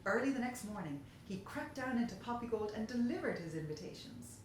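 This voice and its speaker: A woman's voice: fairly high pitch, expressive, a little fast. A woman narrating a children's story expressively, in the manner of a storyteller reading aloud. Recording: very echoey room, faint hiss.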